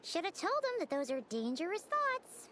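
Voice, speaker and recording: A high-pitched, childlike fairy sidekick for a video game. The delivery is cheeky and very lively, with noise behind it. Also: female voice, moderate pace, exaggerated voice. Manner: speaks of self in third person. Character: small squeaky childlike floating fairy companion, cheeky.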